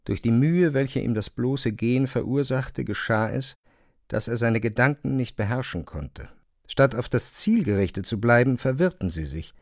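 A man narrating a literary radio play from a script in a measured storytelling manner. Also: mid-range voice, expressive, no room sound, studio quality. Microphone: close studio microphone.

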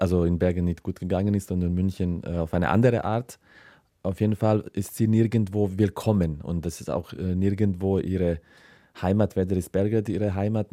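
Deep, very dark-voiced man. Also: no echo, studio quality. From former Yugoslavia. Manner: hesitant, searching, hedging phrases. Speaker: writer, interview guest. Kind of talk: unscripted interview answers.

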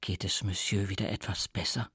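A man voicing a deferential, discreet male valet with a soft-spoken, formal, obsequious manner in a literary audiobook. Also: sits low, little pitch movement, normal speed, slightly rough, no room sound, clean studio sound, pitched below the performer's own voice, full character voice. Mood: solicitous.

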